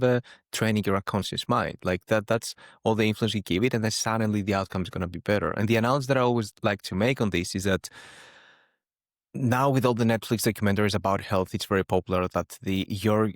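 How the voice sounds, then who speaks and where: A man's voice: fairly low pitch, no echo, clean studio sound. A man from Greece hosting a podcast interview, thoughtful and often restarting his sentences. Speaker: podcast host. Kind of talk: casual interview chat.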